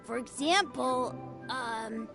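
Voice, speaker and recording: A woman voicing an eager, boastful little boy who plays at being a martial-arts master in a video game, excitable and self-important. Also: fairly high pitch, slow, vocal fry. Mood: chatty, boastful. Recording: game music behind the voice.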